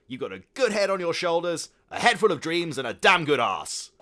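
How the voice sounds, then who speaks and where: A man's voice: a little high, big pitch swings, a little fast, fairly bright tone, voice pushed up. A man doing a creepy, leering old uncle.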